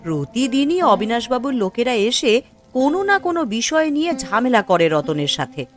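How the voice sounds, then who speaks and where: A woman acts out a gossiping village woman for a Bengali cartoon. Her voice is on the high side and very animated as she complains, with light music underneath. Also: a little fast. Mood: indignant. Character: indignant, gossiping village woman, sympathetic to the poor. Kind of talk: Bengali cartoon story acting.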